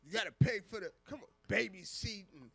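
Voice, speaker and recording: Male co-host, high-pitched and extremely bright, slangy, interjecting, repetitive casual banter. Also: lively intonation, fast, creaky, husky, no room sound, faint music behind. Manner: interjecting and repeating himself, slangy. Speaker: radio show co-host. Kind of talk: casual live talk show banter.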